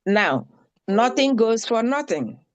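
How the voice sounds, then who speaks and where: Slow, earnest woman making an appeal. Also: fairly high pitch, big pitch swings, no room sound. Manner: slow, pleading, repeats for emphasis. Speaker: town hall participant. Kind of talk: earnest spoken appeal at an online meeting.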